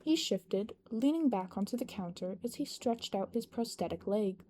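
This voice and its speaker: A woman's voice: mid-range voice, expressive, moderate pace, warm tone. A woman reading a scripted fan-fiction story aloud as its narrator. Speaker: podfic narrator. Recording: noticeable background noise.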